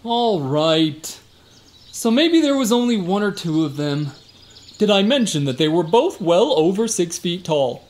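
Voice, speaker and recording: A man's voice: high-pitched, sing-song, a little slow, a little mellow. A man playing a boastful, evasive security guard in a comic radio drama, folksy, slangy and given to exaggeration. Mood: sheepish, bragging. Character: boastful, evasive tough-guy security guard, a fibbing male cousin.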